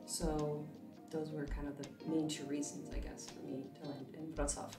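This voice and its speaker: A young woman in her twenties, a vet student from California whose first language was Russian, chatting casually in an interview with relaxed fillers and restarts. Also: low voice, normal speed, echoey room, recorded from a distance, music in the background. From California, USA.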